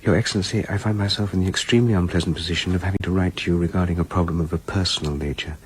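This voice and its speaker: An actor playing a genial middle-aged father in a radio drama, measured, courteous and formal. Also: low-pitched, steady tone, a little hiss or hum, natural voice, rough recording. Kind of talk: dramatic radio play acting.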